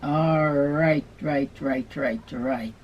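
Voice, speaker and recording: A middle-aged woman with a Guyanese accent, hosting a sewing channel and answering viewer questions in an unhurried, chatty way. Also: low voice, highs cut off, a little hiss or hum. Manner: unhurried, chatty, Creole-tinged phrasing. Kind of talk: casual Q&A chat to camera.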